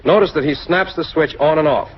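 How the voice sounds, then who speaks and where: A man's voice: a little high, brisk. An American man reads scripted narration for a 1940s military training film, addressing the listener directly in an instructive way. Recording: muffled, husky-sounding 1940s film soundtrack.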